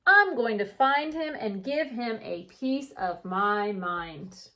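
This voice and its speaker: A woman reading a picture book aloud like a teacher, with animated, drawn-out delivery and chatty asides. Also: a little high, slight background noise. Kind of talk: expressive children's read-aloud with chatty asides.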